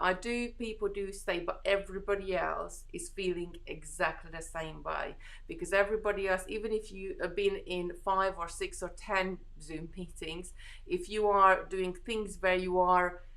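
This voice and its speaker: Chatty Hungarian-accented woman, lowish voice. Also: a bit bright. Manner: chatty, reassuring, many fillers and restarts. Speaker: host of a beading live stream. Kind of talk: casual live chat reading viewer comments.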